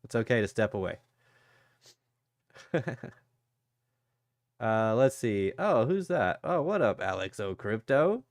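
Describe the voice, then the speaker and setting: A man with a stuffy, sniffly nose hosting a casual crypto livestream, low on energy and rambling as he greets viewers by name. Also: very animated, slow, long pauses, no echo, clean studio sound. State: congested, sniffly.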